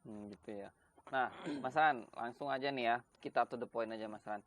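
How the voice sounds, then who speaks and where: A man's voice: mid-range voice, normal speed, fairly bright tone, slight background noise. A man with a mid-range voice hosts a casual interview. He is chatty and informal, speaking as if bantering with a friend. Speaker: host of a chat interview show.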